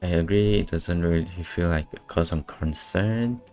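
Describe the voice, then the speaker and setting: A man streaming a game with casual, low-key, muttered commentary and offhand swearing. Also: normal speed, a little mellow, faint music behind. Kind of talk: casual live playthrough commentary.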